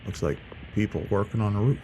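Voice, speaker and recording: A man's voice: lowish voice, quick pace, background noise. A man hosting a video, giving casual reaction commentary with rambling, informal asides. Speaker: host, horror video creator. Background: music.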